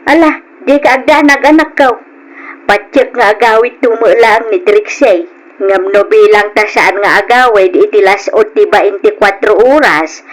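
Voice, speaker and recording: Actress as a concerned mother, mid-pitched radio drama voice. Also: lightly acted, poor audio. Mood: reassuring. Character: concerned, advising mother of a grown son. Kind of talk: Ilocano radio drama acting.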